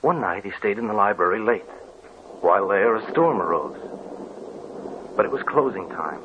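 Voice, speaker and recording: A man's voice: fairly low pitch, animated, moderate pace, close to an everyday voice. A man as a solemn storyteller. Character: grave man recounting his family's legend. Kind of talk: 1940s radio drama narration. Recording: dull old radio recording with faint hiss.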